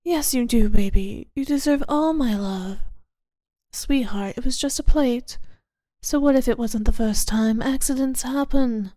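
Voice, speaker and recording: Gentle woman for a comfort roleplay: high, mellow voice, close and dry, soothing and sometimes whispered. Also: a little slow, no room sound. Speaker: audio roleplay performer.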